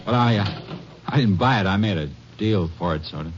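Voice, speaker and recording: A man's voice: fairly low pitch, fairly quick, close to an everyday voice. An actor playing a nervous, evasive young male soldier who stammers under questioning in a 1950s radio drama. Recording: muffled old radio broadcast with faint hiss.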